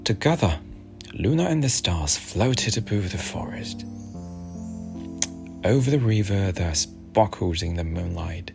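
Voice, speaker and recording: A man softly narrating a soothing ASMR bedtime story in a gentle, unhurried, hushed manner over music. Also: low-pitched, lively intonation, creaky. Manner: gentle, hushed, unhurried, at times near a whisper. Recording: music behind the voice.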